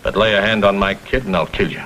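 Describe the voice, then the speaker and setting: A man's voice: lowish voice, quick pace. An actor playing a blunt, boastful middle-aged millionaire businessman and protective father in a vintage TV crime drama. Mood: boastful, threatening. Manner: blunt, forceful, boastful, clipped sentences. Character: gruff, blustering self-made millionaire businessman, protective father. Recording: noisy vintage TV soundtrack.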